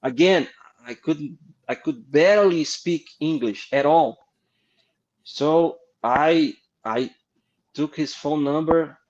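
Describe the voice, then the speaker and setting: A middle-aged man from Brazil with a Brazilian accent, a guest artist telling animated, laughing anecdotes in an interview. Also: fairly high pitch, slow, muffled recording. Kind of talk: casual storytelling interview.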